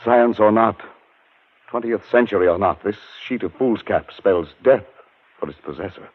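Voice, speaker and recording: An actor playing a haunted scholar who narrates his own tale in a grave, measured 1940s radio drama style. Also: on the low side, natural voice. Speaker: narrator and lead character. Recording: tinny old radio broadcast.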